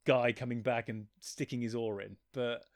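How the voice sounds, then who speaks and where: A man in casual, opinionated chat as a guest, griping in an animated, blunt and sweary way. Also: mid-range voice, moderate pace, no room sound, studio quality. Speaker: guest, fan-fiction author.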